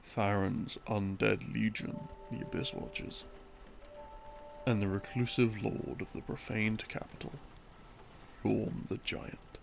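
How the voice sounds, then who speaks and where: A man telling lore as a soft, rambling sleep-aid story, breathy and often sinking into a murmur, in halting short phrases. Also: on the low side, fairly even intonation. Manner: halting, broken into short phrases with long pauses. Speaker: audio roleplay narrator, playing a boyfriend explaining game lore. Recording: music behind the voice. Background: soft background music.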